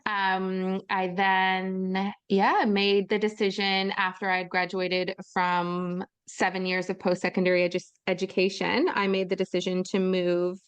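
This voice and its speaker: Smooth-voiced Canadian woman co-host. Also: mid-range voice, completely flat delivery, measured pace. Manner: bubbly, laughs easily, many ums. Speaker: podcast co-host. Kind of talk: casual chat.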